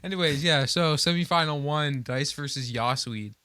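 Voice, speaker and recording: A man's voice: fairly high pitch, normal speed, bright, forceful tone, clean tone, no room sound. A man co-hosting a podcast in casual, conversational chat. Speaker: podcast co-host.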